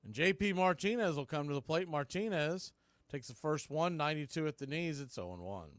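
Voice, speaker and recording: A man's voice: on the high side, lively intonation, brisk, no echo. A man giving live baseball play-by-play as announcer and host, speaking in clipped phrases with brief asides.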